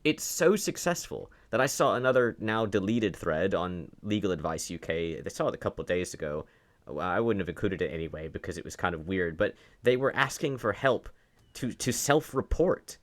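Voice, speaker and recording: A man with a fairly low voice delivers opinionated online commentary. His manner is argumentative and sarcastic, and he leans on rhetorical questions to press his case. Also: lively intonation, moderate pace.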